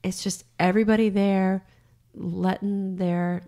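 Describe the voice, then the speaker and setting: A middle-aged woman co-hosting a podcast in casual chat, with a raspy, slightly hoarse voice, frank and quick to laugh. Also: mid-range voice, flat delivery, warm tone. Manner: chatty, frank, laughs easily. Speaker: podcast co-host.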